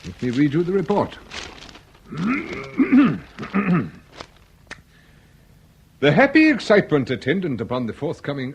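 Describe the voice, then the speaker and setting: Husky, florid Victorian lord. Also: male voice, mid-range voice, exaggerated voice. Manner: florid, mannered, pompous. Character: courtly, fretful, florid Victorian male lord. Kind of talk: British radio drama acting.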